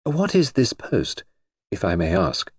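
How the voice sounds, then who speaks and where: A man voicing a bold young woman who speaks bluntly and calmly. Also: fairly low pitch, a little slow, breathy edge, no echo, clean studio sound, heavily acted. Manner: blunt, calm, direct.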